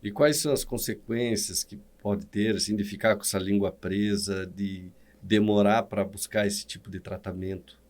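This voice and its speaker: A man's voice: fairly low pitch, steady tone, moderate pace, few pauses, a little mellow. A man with a Brazilian Portuguese accent, a dentist hosting an interview, giving short agreeing replies and follow-up questions. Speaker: dentist host. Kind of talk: interview host.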